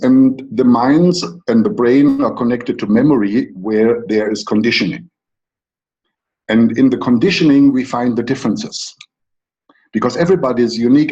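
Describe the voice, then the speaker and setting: A middle-aged man with a German accent speaks in a mellow, dark tone, unhurried and reflective, pausing between phrases as he explains his ideas to listeners. The recording is somewhat dull and lo-fi. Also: medium pitch. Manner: slow, deliberate, reflective delivery with pauses. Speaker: coach. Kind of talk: lecture-style talk.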